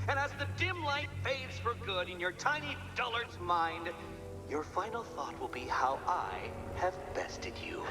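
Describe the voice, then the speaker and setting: An actor playing a gloating, egotistical genius villain who talks in a pompous, taunting, theatrical way. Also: male voice, fairly high pitch.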